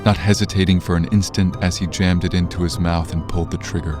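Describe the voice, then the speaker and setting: A man delivering scripted narration as the grave storyteller of a dark audio drama. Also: deep voice, fairly even intonation, quick pace, over music, natural voice. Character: grave third-person storyteller of a dark thriller.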